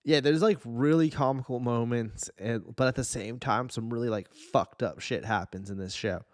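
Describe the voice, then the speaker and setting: Male podcast host, middle pitch, informal and sweary, dry close sound. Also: moderate pace, a little mellow, dry recording. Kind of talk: casual chat about video game adaptations.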